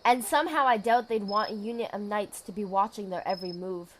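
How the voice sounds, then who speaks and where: A woman playing a dutiful, weary knight commander in an audio drama, speaking in a measured, authoritative way. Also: mid-range voice, a little hiss or hum, close to an everyday voice, poor audio. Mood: businesslike.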